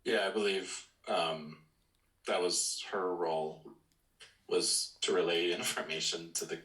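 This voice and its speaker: A man's voice: low voice, flat delivery, measured pace, fairly bright tone, roomy sound, low-quality recording. A man co-hosting a casual podcast discussion. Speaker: podcast co-host.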